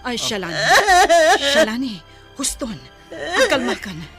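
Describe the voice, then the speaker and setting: A woman with an Ilocano accent acting as a caring companion in a radio drama, speaking in a gentle, consoling way. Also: a little high, big pitch swings, measured pace, over loud music. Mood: soothing. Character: soothing, caring female companion of a distraught mother.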